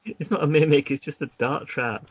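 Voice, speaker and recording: A man's voice: fairly high pitch, steady tone, normal speed, slightly rough, no echo, dull audio. A man narrating an adventure gamebook as game master, casually, breaking off into chatty asides.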